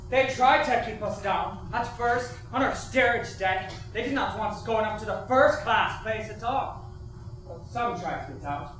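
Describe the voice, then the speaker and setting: A man's voice: high-pitched, lively intonation, a little slow, a bit bright. A man on stage playing a young steerage survivor, giving an earnest monologue. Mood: earnest, agitated. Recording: very echoey distant stage, faint noise.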